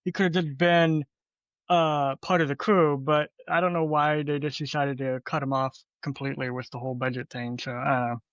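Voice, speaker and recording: A man's voice: on the high side, fairly even intonation, brisk, a bit bright, dry recording. A man giving opinions in casual podcast chat, recorded on a dull lo-fi microphone. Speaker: podcast panelist.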